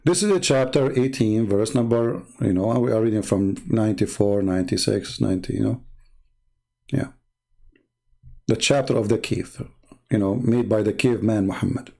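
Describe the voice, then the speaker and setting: A man's voice: lowish voice, moderate pace, creaky, mellow. A man who speaks English as a second language, hosting a mocking commentary on religious texts, sarcastic and emphatic, with rhetorical questions and repeated words. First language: not English. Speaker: host of a religious debate show.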